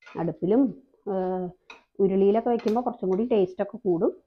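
A woman's voice: medium pitch, fairly quick, breathy edge. Woman, cooking voice-over, friendly explainer, slightly dull lo-fi sound. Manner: chatty, explains each step, frequent tag questions. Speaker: cooking channel host.

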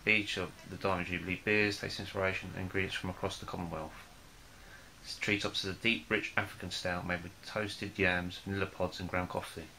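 Young man, beer tasting vlog, relaxed and halting, flat delivery, webcam sound. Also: deep voice, a little hiss or hum, rough recording. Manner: relaxed, hesitant with many fillers and repeats. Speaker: beer reviewer. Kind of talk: casual beer tasting review. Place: small room in front of a plain wall.

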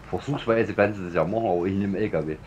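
A man with a German accent chatting over voice chat during a driving game, brisk and teasing toward his friend. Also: low voice, darkish tone. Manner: teasing, brisk and matter-of-fact. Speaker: co-player on voice chat. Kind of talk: casual gaming chat. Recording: dull voice-chat audio with faint noise.

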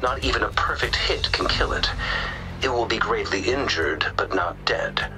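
A dry, analytical scientist in a video game, briefing his allies over a call. His low voice moves widely in pitch while staying precise and measured. Music plays clearly behind him. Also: expressive, poor audio. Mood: matter-of-fact. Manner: measured, precise, matter-of-fact.

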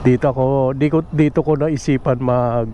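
A man giving chatty, unhurried commentary while riding a scooter outdoors on a road. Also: medium pitch, fairly even intonation, dark tone. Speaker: motovlogger. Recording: wind and traffic noise.

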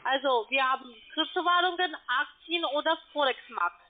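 A woman with a foreign accent working as a call center agent, making a telephone sales pitch. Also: moderate pace, soft background music. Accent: foreign, non-native German. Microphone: phone call. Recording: tinny narrowband phone line.

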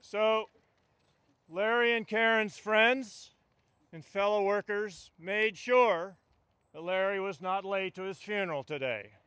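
A man's voice: high voice, takes it slowly, pushed and bright, clean tone, no echo. An older man, a union delegate, giving a funeral eulogy outdoors at a stand mic, with a wry storytelling delivery. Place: outdoors at a funeral gathering among trees.

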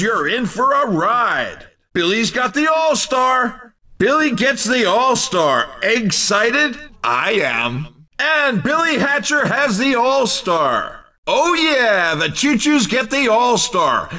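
A hammy, cheeky arcade racing commentator, bursting with excitement. His voice is extremely high and swoops widely, with a bright, forceful edge. The lines come as short, exclamatory video game announcements. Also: distant mic, full character voice. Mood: sly, playful.

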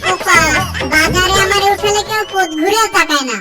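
A woman voicing a sharp-tongued female mango tree in a comic cartoon, scolding and nagging her partner. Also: very high-pitched, normal speed. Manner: scolding, nagging, mock-scornful. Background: loud music.

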